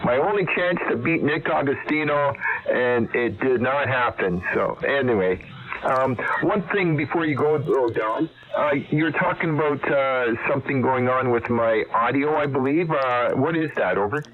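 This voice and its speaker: Halting man over a tinny ham radio link. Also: medium pitch. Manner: halting, searching for words. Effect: heard over shortwave radio. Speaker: amateur radio operator. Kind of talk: unscripted ham radio conversation. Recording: narrow tinny amateur radio transmission.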